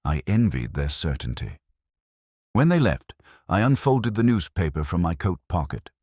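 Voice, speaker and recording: Man acting melancholy detective narrator, very low voice, expressive rise and fall, measured, literary, scripted radio mystery. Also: no echo, studio quality. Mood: brooding. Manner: measured, literary, brooding delivery.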